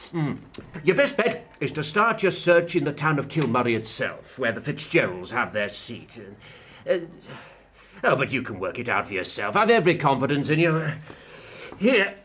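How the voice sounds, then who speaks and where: Husky, animated elderly lawyer. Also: male voice, mid-range voice, moderate pace. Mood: brisk, hearty. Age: older. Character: brisk, hearty elderly male London lawyer uncle. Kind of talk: period audio drama acting.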